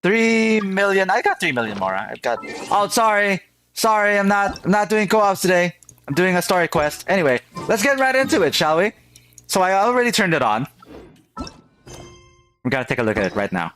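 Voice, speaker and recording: A man's voice: high voice, very animated, normal speed, fairly bright tone, faint music behind. A man chatting casually to viewers as a game streamer, rambling and often repeating his phrases. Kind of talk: casual livestream chat to viewers.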